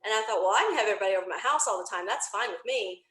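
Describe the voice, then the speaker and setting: A woman in her forties chatting casually as an interview guest, talkative and quick to laugh. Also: mid-range voice. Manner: chatty, animated storytelling, laughs easily. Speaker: podcast creator, interview guest. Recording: roomy, echoing room sound.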